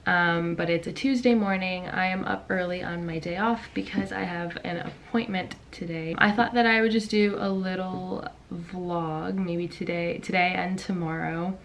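A young woman vlogging casually from her bedroom, chatty and rambling with lots of ums and likes, her voice raspy from just waking up. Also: lowish voice, steady tone, normal speed, hardly any pauses, slight background noise. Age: young adult. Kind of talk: casual vlog chat. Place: bedroom at home. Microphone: camera in front at desk.

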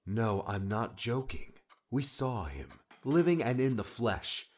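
A man playing an earnest, grieving young man in an audiobook, speaking in a quiet mutter. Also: mid-range voice, animated, takes it slowly, slight background noise. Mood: quietly insistent. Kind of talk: audiobook character acting.

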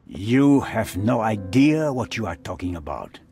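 An actor playing a menacing, haughty middle-aged crime boss with a husky voice, speaking formally and deliberately in a dramatic video game style. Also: male voice, lowish voice, sing-song, dark tone, faint music behind. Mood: irritated, dismissive.

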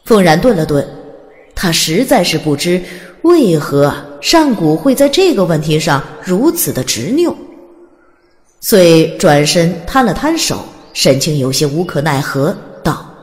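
A woman's voice: lowish voice, sing-song, measured pace, a little mellow, long reverb tail. A woman narrating an audiobook as dramatic scripted storytelling.

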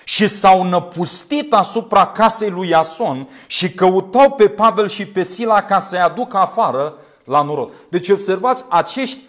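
A man in his thirties from Romania preaching a sermon in an earnest, emphatic way, using deliberate repetition. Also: high voice, lively intonation, a bit bright. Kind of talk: sermon with scripture commentary. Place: small indoor room, standing at a glass pulpit. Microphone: lapel mic.

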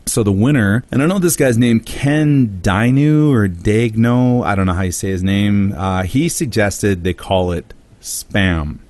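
A man's voice: on the low side, expressive, measured pace, darkish tone, no echo. A man hosting a casual comedy podcast, telling a story with jokes and silly put-on voices for quotes. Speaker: podcast host.

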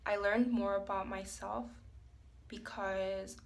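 A young woman with a raspy, hoarse voice chatting softly to a phone camera in a small tiled bathroom, with lots of fillers. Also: medium pitch, little pitch movement, slow pace. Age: young adult. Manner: soft-spoken, many fillers, laughs often. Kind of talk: casual reflective chat to camera. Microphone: phone held at arm's length.

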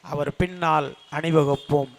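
A man's voice: fairly high pitch, fairly even intonation, measured pace, gravelly. A man giving a fiery political rally speech as a party speaker, hammering points with rhetorical questions and repetition. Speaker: party speaker at a public meeting.

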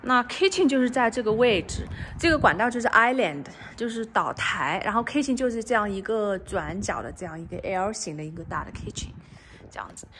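Chatty bright-voiced woman touring a house. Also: medium pitch, expressive, brisk, slight background noise. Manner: chatty, mixes English terms into Chinese; drops to a soft, near-whispered voice at times. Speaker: real estate agent giving a house tour. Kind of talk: casual walkthrough tour. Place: outdoors and inside a house under construction. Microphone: handheld phone camera.

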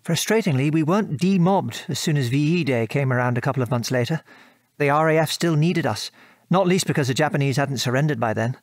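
A man plays a British war veteran father in an audio drama. His voice sits a little high for a man, recorded close and dry. He reminisces frankly and articulately, with old-fashioned phrasing and an aggrieved edge. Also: a little fast, dry recording, lightly acted. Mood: wry reminiscing. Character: former RAF bomber airman, reminiscing father, frank and aggrieved.

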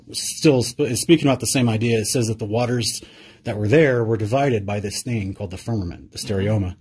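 A male interviewer with a rather low, husky voice. He talks casually and thinks out loud, circling his questions with fillers and restarts. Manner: searching, thinks aloud with many fillers.

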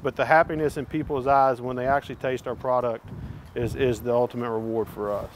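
A man's voice: mid-range voice, level tone, a little fast, low-quality recording. A man in his thirties, a cattle producer, speaking earnestly with restarts in an outdoor interview. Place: outdoors in a pasture. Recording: faint outdoor background noise.

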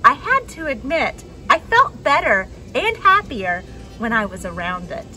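A young adult woman reading a children's picture book aloud expressively, with dramatic pauses and emphasis. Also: a little high, very animated, pushed and bright, soft background music, low-quality recording. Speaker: storybook reader for a children's church message. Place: outdoors on a wooden deck among trees.

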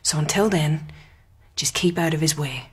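An actress playing a spirited, sardonic young woman in a radio drama, with a husky voice. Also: sits low, moderate pace, natural voice. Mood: urgent pleading.

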